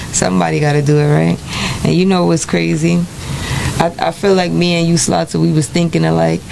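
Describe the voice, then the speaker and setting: Gravelly-voiced chatty woman radio host. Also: fairly low pitch, little pitch movement, moderate pace, background noise. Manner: chatty, laid-back, restarts often. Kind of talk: casual radio show chat.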